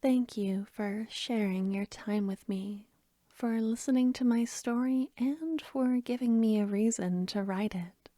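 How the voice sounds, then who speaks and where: A woman speaking gently and softly to her listeners as an ASMR creator, in a hushed voice. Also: fairly high pitch, unhurried, dry recording, clean studio sound. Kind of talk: soft-spoken ASMR sign-off.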